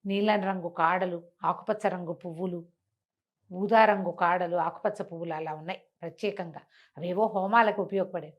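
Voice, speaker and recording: A woman's voice: fairly low pitch, steady tone, moderate pace, highs cut off. A woman tells a fantasy folk tale in a conversational way, using rhetorical questions and repetition. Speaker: storyteller on a web show.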